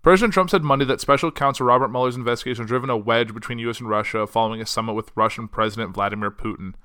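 A man's voice: medium pitch, very fast, bright, forceful tone, clean tone. A young man hosting a solo news podcast, reading articles aloud and then chatting casually, his voice getting worn. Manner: reads quotes then chats casually with fillers. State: losing his voice.

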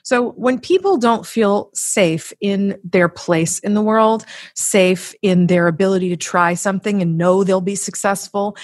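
A woman's voice: medium pitch, measured pace, few pauses, dry recording. Emphatic woman giving motivational advice, close dry sound. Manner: emphatic, blunt, casually sweary. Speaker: podcast guest, author and coach. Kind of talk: conversational motivational advice with acted-out examples.

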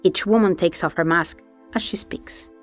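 A woman hosting an investigative documentary, giving measured, serious scripted narration. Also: fairly low pitch, soft background music. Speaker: documentary podcast host and narrator.

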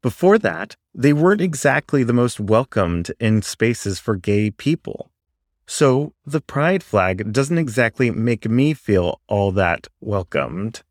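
A man's voice: lowish voice, very animated, slow, no room sound, clean studio sound. A man voicing a female panther student in a story, earnest, pointed and standing her ground. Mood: indignant.

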